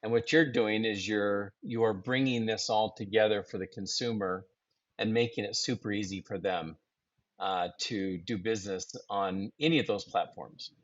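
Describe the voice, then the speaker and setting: A man hosting a business webinar, introducing his guest in a halting way with many fillers. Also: lowish voice, fairly even intonation, dry recording. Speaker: webinar host, company founder. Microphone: video call. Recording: dry, dull web call audio.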